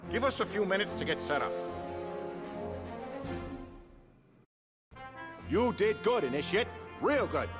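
An actor playing a bossy, self-important vigilante leader in a video game, briefing in a pompous, mock-military way. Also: male voice, high-pitched, sing-song, brisk, loud music behind the voice. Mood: proud. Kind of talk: video game cutscene acting.

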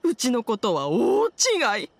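Sweet old village granny for anime game, talks slow, kinda high voice, gentle. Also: female voice, huge pitch swings, creaky, dry recording, full character voice. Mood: grateful, grumbling. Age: older. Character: kindly elderly village wife, mildly grumbling. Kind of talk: Japanese anime game voice acting.

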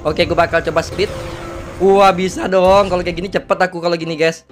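High-voiced young man, slightly hoarse. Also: a little fast, faint music behind. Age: young adult. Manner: excitable, slangy, exclamatory. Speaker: gaming video creator. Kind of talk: casual gameplay commentary.

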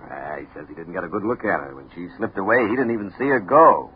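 An actor playing a matter-of-fact, low-key homicide captain in a 1950s radio drama. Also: male voice, sing-song, quick pace. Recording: dull 1950s radio recording.

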